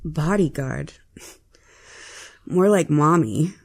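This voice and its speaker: A man's voice: on the high side, drawn-out words, gravelly, slightly rough, no echo, voice pushed up, heavily acted. A man voicing an earnest, unsure young boy in an audio story, with a hesitant manner. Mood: teasing.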